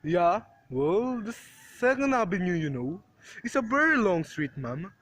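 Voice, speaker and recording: A woman's voice: fairly low pitch, very animated, measured pace, no echo. A woman playing a weary, dismissive desk police officer in an amateur radio play, placating and patronizing. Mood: skeptical, dismissive.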